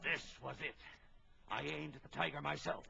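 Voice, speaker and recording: A man's voice: a little high, fairly even intonation, moderate pace, gravelly, a loud crowd. A man playing an authoritative ship's captain who narrates a suspenseful animal adventure for a children's story record. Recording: old lo-fi children's record, music behind.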